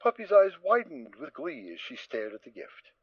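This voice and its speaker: A man's voice: fairly high pitch, very animated, moderate pace, slightly rough, dry recording, muffled recording. A man narrating a fan audio drama in a storytelling reading style.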